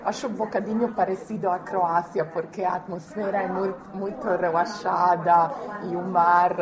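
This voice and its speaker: A woman from Croatia talking casually as an interview guest, in careful, halting non-native Portuguese with occasional Spanish slips. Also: lowish voice, level tone, crowd noise.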